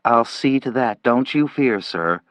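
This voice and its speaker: A man's voice: mid-range voice, animated, a little slow, fairly bright tone, dry recording. A man doing a courteous young receptionist. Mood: polite. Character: polite young woman receptionist.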